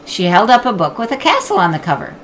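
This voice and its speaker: Female storybook narrator. Animated, mid-pitched, expressive, with faint noise behind the voice. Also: fast talker. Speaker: audiobook reader.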